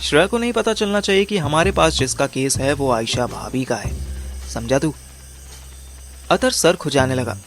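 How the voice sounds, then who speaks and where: A man's voice: on the high side, lively intonation, moderate pace, a bit bright, soft background music. A man narrating and playing a scheming, overweight amateur detective in an audio drama, with a wry, colloquial manner. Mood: conspiratorial. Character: overweight, scheming male amateur detective telling his story. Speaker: narrator and lead character.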